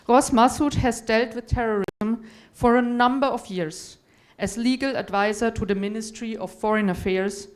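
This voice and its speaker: Woman moderating a conference panel. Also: fairly high pitch. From Europe. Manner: courteous, formal introductions. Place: conference hall.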